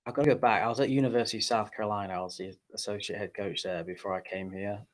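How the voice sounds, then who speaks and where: A laid-back man in his thirties, originally from England, talks as a podcast guest with a fairly low voice. He speaks casually and haltingly, pausing often on fillers as he tells his story. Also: fairly even intonation. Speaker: university golf coach, guest. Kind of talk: casual interview chat.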